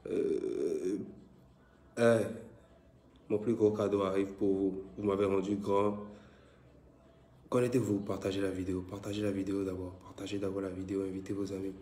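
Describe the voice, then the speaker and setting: A man with a West African French accent and a head cold hosts a casual live stream and calls out greetings to viewers. His voice is low, dark and mellow, with a flat delivery. The echoey room makes it sound roomy. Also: normal speed, pauses often. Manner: loud, repetitive greetings and shout-outs to viewers. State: congested with a cold.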